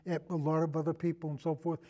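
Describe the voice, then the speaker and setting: Older man, high-pitched and mellow, rambling. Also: little pitch movement. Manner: rambling, halting, trails into et cetera. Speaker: podcast guest, longtime radio show host. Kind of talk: casual film discussion.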